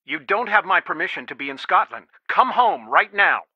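A man's voice: a little high, expressive, normal speed, no room sound. An actor playing a worried, protective middle-aged father in a video game, earnest and pleading, heard through a telephone filter. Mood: upset, pleading. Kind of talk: naturalistic video game dialogue, one side of a phone call. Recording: dry, band-limited phone-like sound.

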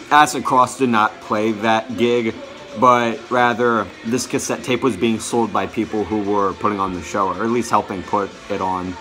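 A young man giving a casual show-and-tell to camera, halting and often correcting himself. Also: medium pitch, sharp tone. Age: young adult. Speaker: vlogger showing a music collection. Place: small bedroom, indoors.

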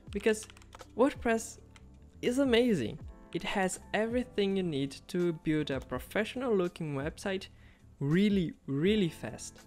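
Casual young man narrating over soft music. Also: fairly high pitch. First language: Portuguese. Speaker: web developer vlogger. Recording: soft background music under voice.

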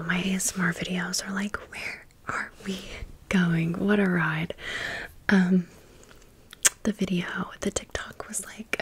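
A woman's voice: on the low side, fairly bright tone, breathy edge. A woman hosting an ASMR storytime, rambling casually and conversationally with wry asides. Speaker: ASMR video host.

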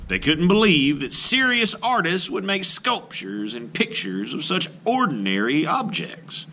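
A man reading a children's book aloud as an art teacher, with expressive, emphatic delivery. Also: medium pitch, slight background noise.

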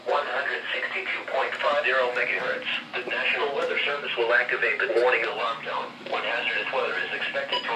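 A man with a mid-pitched voice reads a scripted announcement through a weather radio speaker. His delivery is formal and impersonal. The playback is captured in an echoey room under heavy noise. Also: distant mic. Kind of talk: scripted public service announcement. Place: played from small weather radios in a home room. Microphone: handheld camera moved among radios.

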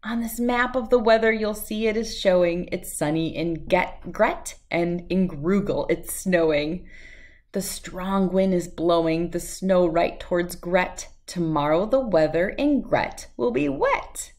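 A middle-aged American woman speaking expressively and clearly as a host of children's storybook read-alouds. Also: on the low side, unhurried, darkish tone. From United States, lived in Wisconsin.

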